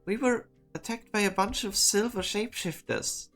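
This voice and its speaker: A woman's voice: medium pitch, moderate pace, fairly bright tone, natural voice. A woman voicing a timid, earnest, stammering young man in a game drama fan dub. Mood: nervous, pleading. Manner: stammering, hesitant. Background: background music.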